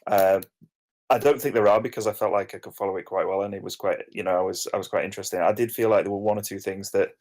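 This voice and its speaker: A man's voice: fairly low pitch, fairly even intonation, fast, darkish tone. A man giving informal feedback in a hesitant, rambling way, hedging his opinions. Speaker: participant giving script feedback.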